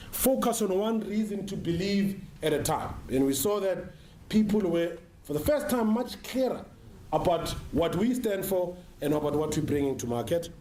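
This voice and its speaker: A South African man giving a business conference presentation in a measured, emphatic way, pausing between short phrases. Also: on the high side, a little mellow. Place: conference room with an audience. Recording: roomy, echoing sound with faint noise.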